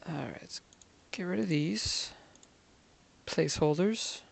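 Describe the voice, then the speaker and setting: A man's voice: a little high, slow pace, gravelly, breathy edge. A man giving casual gameplay commentary, muttering to himself and counting under his breath. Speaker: gaming video creator.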